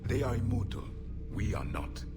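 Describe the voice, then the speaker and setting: A deep, haughty ancient alien warrior. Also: male voice, sing-song, moderate pace, loud music behind the voice. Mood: grave, solemn. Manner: grave, haughty, solemn pronouncements. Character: ancient alien warrior survivor, proud, bitter, distrustful of machines. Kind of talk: video game voice acting.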